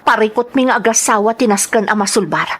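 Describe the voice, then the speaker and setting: An actress playing a wronged, resolute wife in an Ilocano radio drama, with an Ilocano accent. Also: female voice, medium pitch, fast, a bit bright, lightly acted. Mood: firm. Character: wronged, resolute wife confronting her unfaithful husband.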